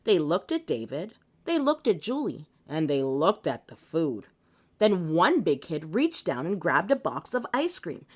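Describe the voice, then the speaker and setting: A woman reading a children's picture book aloud in an animated, theatrical, expressive way. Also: deep voice, a little slow. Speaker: children's story reader.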